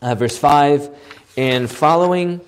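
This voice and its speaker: A man with a hoarse voice teaching a Bible passage, asking questions and answering them himself. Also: on the high side, slow pace. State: losing his voice, hoarse. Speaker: pastor teaching a Bible study.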